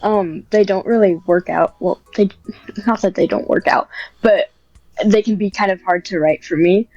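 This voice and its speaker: A woman's voice: medium pitch, moderate pace, a little mellow, no room sound. A woman guest on a podcast, talking casually and hesitantly about writing ASMR scripts, with lots of fillers and restarts. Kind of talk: casual interview chat. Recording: muffled, dry, faint music behind.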